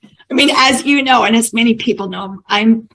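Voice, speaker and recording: A woman's voice: fairly high pitch, normal speed, fairly bright tone, rough recording. A woman from the United States, hosting a podcast and reflecting in an appreciative way while interviewing a guest over a video call. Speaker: podcast host. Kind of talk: interview conversation.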